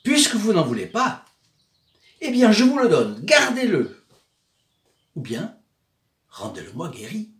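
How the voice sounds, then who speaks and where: A man voicing a desperate Italian mother, crying out in a high, loud, impassioned voice while retelling a story. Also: wildly swooping pitch, measured pace, pauses often, distant mic, full character voice. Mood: defiant pleading. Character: desperate Italian mother, pleading then overjoyed. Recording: echoey room.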